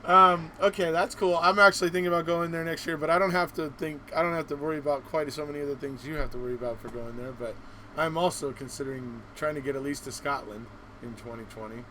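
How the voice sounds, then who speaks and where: A man's voice: a little high, fairly even intonation, fast talker, bright, forceful tone, slight background noise, low-quality recording. A man hosting a casual live interview, reacting with folksy exclamations and reading out questions. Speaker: host.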